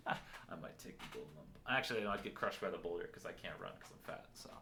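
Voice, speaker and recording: Loud, low-voiced man rambling casually. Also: animated, fast, gravelly, slight background noise. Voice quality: loud, booming voice. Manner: rambling, self-mocking, crude humor. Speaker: podcast host. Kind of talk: casual joking podcast chat.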